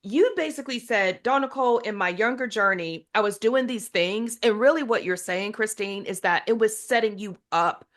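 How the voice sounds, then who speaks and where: A woman's voice: medium pitch, moderate pace, hardly any pauses. A woman hosting an interview, chatty and enthusiastic, asking long layered questions. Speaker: podcast host. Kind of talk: casual interview chat.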